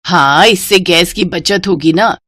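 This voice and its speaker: A woman's voice: lowish voice, a little slow, a bit bright, dry recording, clean studio sound. A woman voicing a stingy, bossy mother-in-law in a cartoon story, commanding and emphatic.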